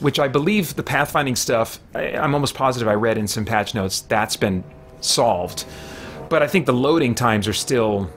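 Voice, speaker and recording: A man's voice: mid-range voice, a bit bright, slight background noise. A middle-aged man chatting casually and thinking aloud as he livestreams video games from a small room at home. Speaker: video game streamer. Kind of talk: casual unscripted livestream chat.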